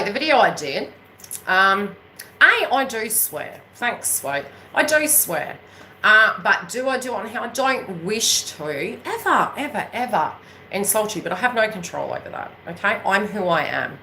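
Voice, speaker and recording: A woman's voice: mid-range voice, very animated, measured pace, pushed and bright, a little hiss or hum, poor audio. A woman from New Zealand with a Kiwi accent, chatting casually and unscripted to her viewers as an astrology reader, in an emphatic, rambling way that keeps checking they follow. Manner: emphatic, rambling, often checking in with tag questions. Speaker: astrology reader and spiritual coach hosting her own channel.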